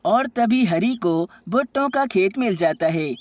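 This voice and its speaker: A woman's voice: medium pitch, lively intonation, unhurried, a little mellow. A woman reading scripted narration for a children's bedtime story.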